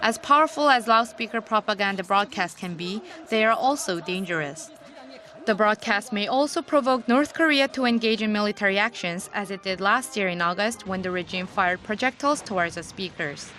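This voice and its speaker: A woman's voice: mid-range voice, lively intonation, a little fast, sharp tone, soft background music. A woman reporter reading a scripted news feature narration.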